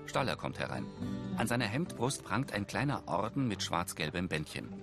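A man delivers scripted audio description of a screen drama. His pitch is low and his intonation moves a lot. Music plays clearly behind him. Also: big pitch swings, normal speed.

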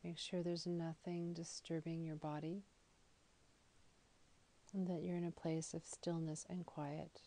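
A woman leading a guided meditation in a soothing, deliberate manner, with phrases that trail off softly. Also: low voice, little pitch movement. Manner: soothing, slow and deliberate with soft, breathy phrase endings. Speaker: yoga nidra guide.

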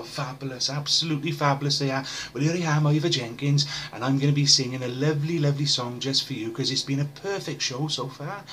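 A man's voice: a little high, a bit bright, a little hiss or hum. A middle-aged man giving chatty comic stage patter as a pantomime performer, with effusive, camp showmanship.

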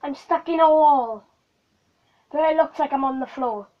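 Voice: high voice, moderate pace, dull audio. An informal, chatty speaker, talking casually in a home video.

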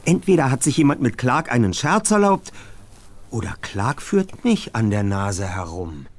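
A brainy teenage detective in an adventure game, speaking slowly and precisely with a very lively, swinging pitch. He sounds self-assured and formal in his wording. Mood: analytical. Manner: precise, deliberate, formal diction. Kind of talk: German adventure game voice acting.

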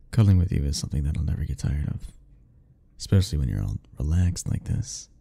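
A young man softly speaking a tender, hesitant ASMR roleplay, musing aloud. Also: sits low, vocal fry, breathy edge. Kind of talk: soft-spoken ASMR roleplay.

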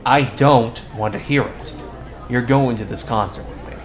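A man playing a bossy teenage school bully with a hidden soft side, in an ASMR roleplay, brusque and given to muttering. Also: medium pitch, moderate pace, close to an everyday voice. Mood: bossy, gruff. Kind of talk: ASMR boyfriend roleplay acting. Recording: clear background ambience noise.

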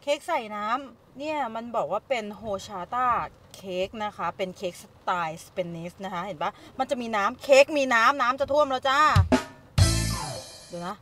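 A woman in her thirties chats eagerly to her viewers while filming on a handheld phone. Her voice sits a little high and bubbles with quick exclamations. Background music plays clearly under her talk. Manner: chatty, addresses viewers directly, excitable. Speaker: vlogger. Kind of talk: casual grocery vlog and food tasting. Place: supermarket aisles and parked car. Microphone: handheld phone camera at arm's length.

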